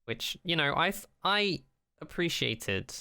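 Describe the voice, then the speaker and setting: A man's voice: a little high, very animated, unhurried, vocal fry, bright, forceful tone, smooth, dry recording, clean studio sound. A man co-hosting a podcast, chatting casually and jokingly with blunt, comically exaggerated emphasis. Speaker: podcast co-host. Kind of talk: casual, joking chat about sci-fi television.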